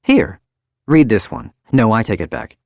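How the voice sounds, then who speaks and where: Man voicing chattering young wife, brittle, quick, dry close recording. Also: mid-range voice, animated, creaky, darkish tone, no echo, pitched above the performer's own voice. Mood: giddy.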